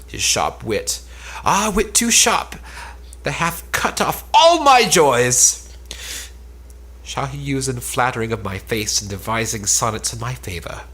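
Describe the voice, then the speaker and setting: A man playing a scheming, lovesick woman in an Elizabethan stage drama, declaiming with drawn-out anguish and spite. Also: mid-range voice, sing-song, pushed and bright, husky, heavily acted. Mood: bitter longing.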